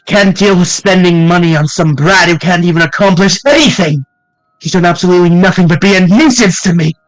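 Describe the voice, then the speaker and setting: Man voicing a scornful parent figure, high voice, dry close sound, rants. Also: a little fast, dry recording, lightly acted, poor audio. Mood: furious. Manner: ranting, contemptuous. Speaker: co-streamer voicing a visual novel.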